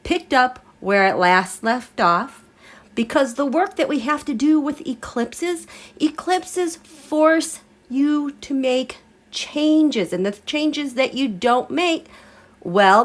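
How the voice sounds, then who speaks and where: A woman hosting a live tarot and astrology stream, chatting casually with a congested voice as she recovers from illness. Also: a little high. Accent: American. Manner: chatty, rambling, animated asides. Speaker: tarot and astrology livestream host.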